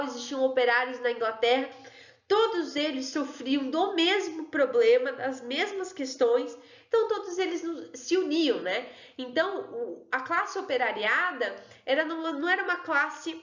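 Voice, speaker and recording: High-voiced woman teaching history. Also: fairly bright tone. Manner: chatty, keeps checking in with "tudo bem?" and "né, pessoal?". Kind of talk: online history lesson. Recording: echoey room, dull lo-fi sound.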